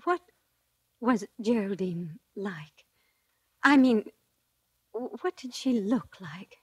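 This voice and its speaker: Coy, eager sweetheart, breathy, slow and deliberate, 1940s radio drama, dry recording. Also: female voice, medium pitch, animated, no echo. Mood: shy, curious. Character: coy, eager woman angling for a proposal.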